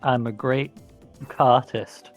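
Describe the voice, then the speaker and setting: A man's voice: medium pitch, fairly even intonation, slow, narrow, tinny audio, soft background music. A young man joking and giggling casually while playing a racing game with friends. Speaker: gamer streaming with friends. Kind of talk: casual gaming banter.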